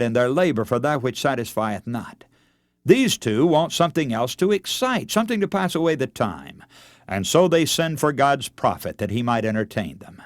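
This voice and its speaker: A man preaching a dramatic radio sermon in an emphatic, oratorical manner, with rhetorical repetition and deliberate pauses. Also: medium pitch, dry recording, clean studio sound. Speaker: radio preacher and broadcast host.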